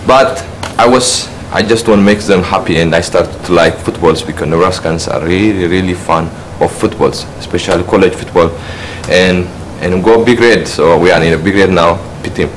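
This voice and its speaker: A man from Eritrea giving a halting practice speech about himself, restarting often as he searches for words. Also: low voice. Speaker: speech trainee. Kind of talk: practice self-introduction speech. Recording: noisy room ambience.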